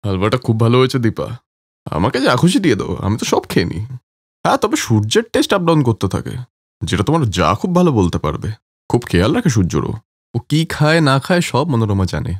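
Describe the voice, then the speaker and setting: A man plays a protective married man in a cartoon family story. He speaks quickly and earnestly, with lively pitch movement. The recording is dry and conversational in tone. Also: no echo. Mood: easygoing.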